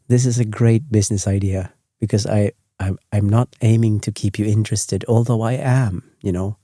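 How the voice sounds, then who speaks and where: A man from Sweden who speaks English with a Swedish accent as a second language, hosting a sleep podcast in a rambling, self-correcting, gently chatty way. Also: on the low side, fairly even intonation, normal speed, no room sound, studio quality. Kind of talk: meandering bedtime talk.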